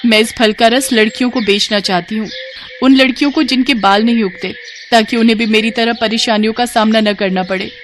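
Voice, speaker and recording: A woman voicing a gentle, long-suffering young daughter-in-law in a cartoon story, in a plaintive, emotive way. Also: steady tone, fairly quick, a little hiss or hum, lightly acted. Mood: hopeful, grateful. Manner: plaintive, emotive, raised pitch.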